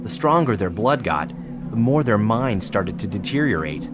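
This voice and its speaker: An actor playing an earnest, persuasive psychiatrist in an audio drama, explaining in a measured, solemn way. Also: male voice, lowish voice, sing-song, over music. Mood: urgent, pleading. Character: earnest, persuasive male psychiatrist pressing his case. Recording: clearly noisy background ambience.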